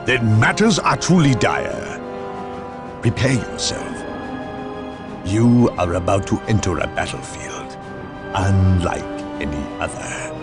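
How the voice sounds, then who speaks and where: A man's voice: fairly low pitch, very animated, slow pace, pauses often, music in the background. A man playing a zealous, commanding inquisitor in a video game cutscene, speaking formally and with authority. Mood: foreboding. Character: solemn commanding male inquisitor, zealous, world-weary judge of men.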